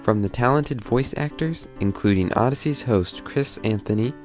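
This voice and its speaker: A man's voice: mid-range voice, moderate pace, darkish tone, faint music behind. A man reading scripted narration as the narrator of a documentary.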